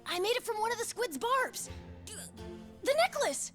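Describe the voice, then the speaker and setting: A woman playing an excitable, loyal and indignant young boy in a video game cutscene. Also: extremely high pitch, measured pace, faint music behind, full character voice. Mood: excited.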